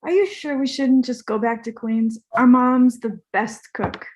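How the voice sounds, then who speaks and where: Woman as a naive fading male movie star, higher-pitched. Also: measured pace, darkish tone, close to an everyday voice. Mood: earnest, casual. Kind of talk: comedy table read.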